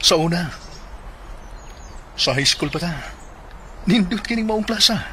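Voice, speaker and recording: A man's voice: high voice, expressive, a little slow, slight background noise, full character voice. A man acting in a radio drama as a bold, curious young man. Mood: nostalgic. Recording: loud music behind the voice.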